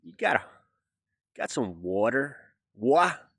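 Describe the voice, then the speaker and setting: A man putting on the voice of a brash, pushy New Yorker who talks loudly and gruffly. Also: mid-range voice, very animated, slow, no room sound, studio quality, full character voice. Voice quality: loud, gruff and quarrelsome.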